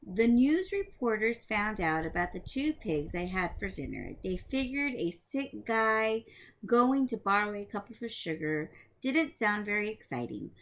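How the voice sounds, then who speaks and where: A woman voicing a wronged male storybook wolf who excuses himself with mock innocence and dramatic storytelling. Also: mid-range voice. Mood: self-justifying. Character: wronged male wolf telling his side, self-excusing.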